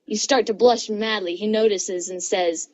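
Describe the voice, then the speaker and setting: A woman's voice: medium pitch, steady tone, moderate pace, a little mellow. A young woman reading fan fiction aloud to friends, giggly and stumbling over words, with joking asides. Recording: dull lo-fi mic, clear chatter around. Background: friends chattering and laughing.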